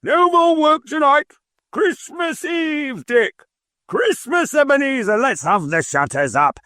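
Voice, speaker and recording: A man voicing a jolly, boisterous old male merchant with a rich, jovial voice, shouting cheerfully in an audiobook. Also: very high voice, very animated, slow pace, no room sound, studio quality, voice pushed up, full character voice. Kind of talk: audiobook character voice.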